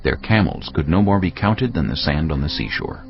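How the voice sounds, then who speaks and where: Deep, gravelly male dramatic narrator. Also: very animated, moderate pace. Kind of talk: scripted dramatic audio Bible narration. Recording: muffled audio under music.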